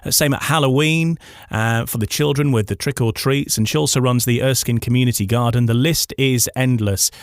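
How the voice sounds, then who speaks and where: A man's voice: medium pitch, brisk, dry recording, studio quality. A Scottish man hosting a radio interview, introducing and questioning his guest in a friendly, slightly hesitant way with repeated words. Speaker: radio host.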